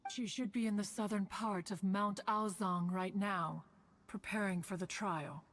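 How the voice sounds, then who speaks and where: A woman voicing an ancient, dignified female crane spirit in a game, speaking in a formal, archaic, measured way. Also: medium pitch, steady tone, natural voice. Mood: solemn. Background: game music.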